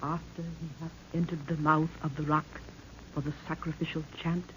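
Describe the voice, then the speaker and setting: A woman's voice: sits low, little pitch movement, moderate pace, natural voice. A woman acting a regal African queen in an old-time radio serial, with archaic, declamatory, solemn speech. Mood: grave, foreboding. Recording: muffled, noisy old radio recording.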